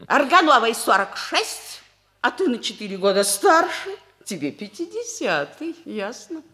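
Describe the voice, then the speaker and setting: An actress playing a bossy, persuasive middle-aged sister in a 1960s Soviet radio comedy, brisk and insistent. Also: female voice, fairly high pitch, sing-song, pushed and bright, full character voice. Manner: brisk, insistent, dismisses every objection.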